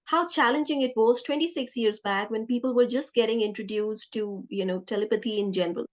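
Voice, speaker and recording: A woman's voice: on the high side, a little fast. A woman hosting an interview podcast, introducing and questioning in a conversational way. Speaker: podcast host.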